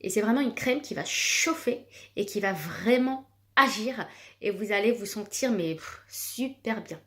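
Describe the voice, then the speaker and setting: A woman's voice: medium pitch, expressive, a little slow, fairly bright tone. A woman with children, chatting casually while demonstrating a cream she sells, full of fillers and repeated words. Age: adult with children. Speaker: product seller vlogger.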